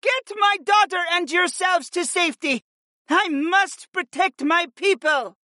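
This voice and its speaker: Fierce hawk-woman warrior for fantasy audiobook, played by a man: high, defiant, emphatic shouts, protective. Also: unhurried, no room sound, clean studio sound, exaggerated voice. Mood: defiant, urgent shouting. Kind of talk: dramatic audiobook character acting.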